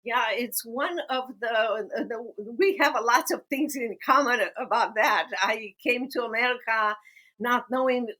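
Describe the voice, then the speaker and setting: A woman with a Romanian accent, hosting a podcast interview in an earnest, deliberate manner. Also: on the high side, bright, forceful tone. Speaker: podcast host. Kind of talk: podcast interview and personal storytelling.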